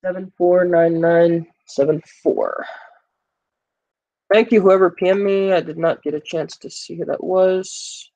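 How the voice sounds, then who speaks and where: A man's voice: high voice, unhurried, warm tone, poor audio. Rambling male live-stream gamer. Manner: rambling, full of fillers, jokes with viewers. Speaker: gaming livestream host. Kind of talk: casual live-stream chat.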